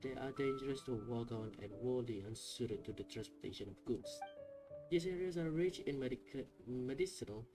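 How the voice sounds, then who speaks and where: A man reads on-screen game text aloud in a plain mid-pitched voice, halting and restarting as he trips over names. Game music plays clearly underneath him. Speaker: gameplay video uploader.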